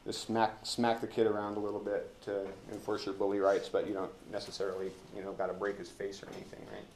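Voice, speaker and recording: Halting American man teaching in an echoey room. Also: lowish voice, flat delivery, a little mellow. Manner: halting, rambling, colloquial; joking. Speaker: teacher leading a class discussion of a play. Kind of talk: informal classroom lecture and discussion. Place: indoor classroom. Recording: roomy classroom echo, background hiss.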